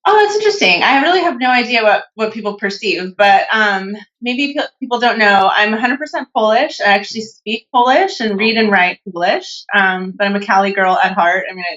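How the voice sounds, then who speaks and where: A woman's voice: medium pitch, expressive, a little fast, few pauses, a bit bright. A young woman from California, chatting casually as a podcast guest, with lots of fillers and restarts. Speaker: podcast guest, natural bodybuilder. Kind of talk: casual interview chat. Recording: dull lo-fi podcast audio.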